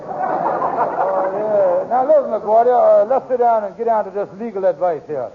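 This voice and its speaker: Guy doing a high voiced folksy old radio comedy schemer, wordy, tinny noisy recording. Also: moderate pace, lightly acted. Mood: scheming. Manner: folksy ungrammatical speech, wordy and emphatic. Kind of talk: 1940s radio comedy acting.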